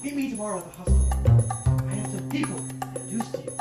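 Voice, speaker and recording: A man's voice: high voice, animated, fast talker. A man playing an effusive, fatherly old-world psychoanalyst with grand enthusiasm in an amateur comedy film. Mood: doting, grand. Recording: very echoey room, distant mic, music behind.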